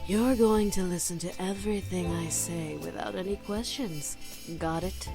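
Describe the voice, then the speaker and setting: A woman's voice: fairly low pitch, creaky, music in the background. A woman playing a seductive, condescending succubus demon in a visual novel, with a haughty, teasing manner. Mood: smug, commanding. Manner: teasing, haughty, drawn-out taunting delivery.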